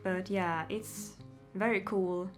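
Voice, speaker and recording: A woman's voice: medium pitch, fairly even intonation, slow, music in the background. Chatty young woman vlogging. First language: Swedish. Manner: chatty, giggly, lots of "like" and "yeah". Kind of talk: casual Q&A chat to camera.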